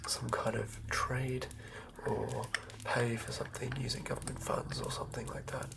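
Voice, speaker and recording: A man whispers close to the microphone in an ASMR style. His voice is full of gravelly fry at a middling pitch. He narrates haltingly, like a game master thinking out loud. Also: low-quality recording. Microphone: close mic.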